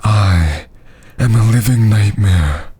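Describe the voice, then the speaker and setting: Vampire lord: haughty, menacing, theatrical ASMR delivery, very husky and whispery, low-pitched. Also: male voice, dark tone, full character voice. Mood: scornful, menacing. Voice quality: breathy, husky, often dropping to a whisper. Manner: slow, theatrical, archaic menacing phrasing. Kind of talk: scripted ASMR roleplay.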